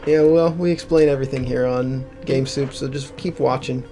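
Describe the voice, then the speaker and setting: A man's voice: medium pitch, steady tone, moderate pace, warm tone, faint music behind. A man co-hosting a casual video game let's-play, with a somewhat nasal voice and a joking, rambling way of talking. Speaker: co-host of a gaming channel.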